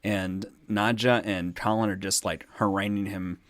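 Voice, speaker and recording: Male co-host, lowish voice, hesitant, dry close sound. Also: steady tone, unhurried, a bit bright, no room sound. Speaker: podcast co-host. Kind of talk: casual chat.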